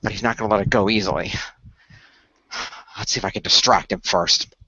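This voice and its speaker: A man voicing an earnest, tactical hero in an audio roleplay, thinking his plans out loud, on a slightly dull microphone. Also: lowish voice, steady tone, brisk, husky, dry recording, pitched below the performer's own voice. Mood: planning. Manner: earnest, planning aloud. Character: earnest, tactical young male hero with battle rings.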